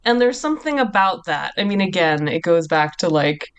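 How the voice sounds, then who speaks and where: A woman's voice: fairly low pitch, big pitch swings, normal speed, clean tone. A woman in her thirties, a film critic guest on a podcast, talking casually in long reflective thoughts. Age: 30s.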